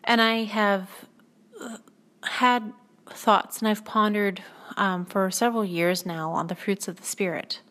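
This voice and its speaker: A woman hosting her own podcast, talking in a soothing, reflective way and pausing often to gather her thoughts. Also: medium pitch, steady tone, a bit bright. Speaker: podcast host. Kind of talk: reflective spoken monologue.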